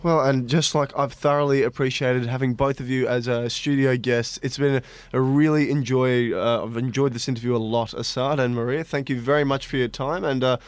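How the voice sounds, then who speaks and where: A man's voice: mid-range voice, fairly even intonation, a little fast, hardly any pauses, clean tone, no echo. A man hosting a studio interview in a courteous, appreciative way. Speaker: radio interview host.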